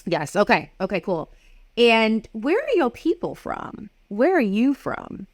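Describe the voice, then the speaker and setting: A woman's voice: a little high, very animated, a little slow, no echo, clean studio sound. Upbeat woman hosting an interview. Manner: upbeat, emphatic, asks direct questions. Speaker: podcast host. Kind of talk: casual interview chat.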